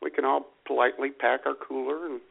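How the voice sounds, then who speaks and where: An American man bantering casually as a talk show co-host, gravelly and wry. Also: medium pitch, little pitch movement, brisk. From United States. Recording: thin phone-like radio audio.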